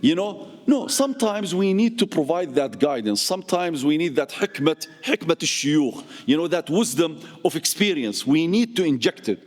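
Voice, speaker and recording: A man's voice: lively intonation, normal speed, hardly any pauses. A middle-aged man giving an impassioned speech as a community speaker and activist, emphatic and repetitive, his voice swinging up and down. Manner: passionate, emphatic, with repeated phrases and big pitch swings. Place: mosque hall. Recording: long reverb of a large hall.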